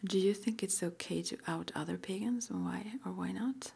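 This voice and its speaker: A woman whispering breathily in an ASMR roleplay, gently and hesitantly asking questions like an interviewer. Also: fairly low pitch, moderate pace. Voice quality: breathy whisper, mostly unvoiced.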